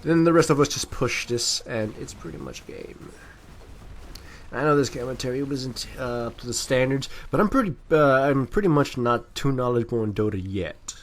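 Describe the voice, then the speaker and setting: Man, mid-pitched voice, casual gameplay commentary, amateur, rambling, self-deprecating. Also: lively intonation, normal speed. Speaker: video game commentator.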